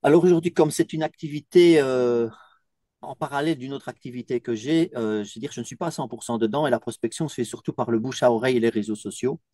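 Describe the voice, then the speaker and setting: A man's voice: medium pitch, quick pace, warm tone, dry recording. A man from Belgium who runs a team coaching business, speaking in an interview testimonial.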